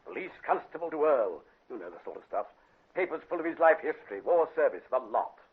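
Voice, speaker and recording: An actor playing a brisk, bluff chief inspector in a British radio drama, speaking bluntly. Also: male voice, on the high side, very animated, breathy edge, phone-quality sound, full character voice. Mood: emphatic. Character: brisk, gruff male police chief inspector. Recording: band-limited vintage radio recording.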